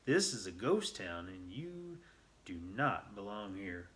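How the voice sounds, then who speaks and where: A man's voice: on the low side, sing-song, takes it slowly, a bit bright, heavily acted. A man voicing a grim old gold miner in a storybook, with an ominous, commanding, emphatic delivery. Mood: stern warning. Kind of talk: storybook character voice.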